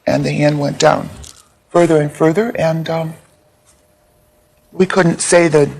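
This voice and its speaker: A woman giving personal testimony in an interview, halting and reflective as she speaks. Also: deep voice, fairly even intonation, creaky, a little mellow. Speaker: interviewee, abuse survivor.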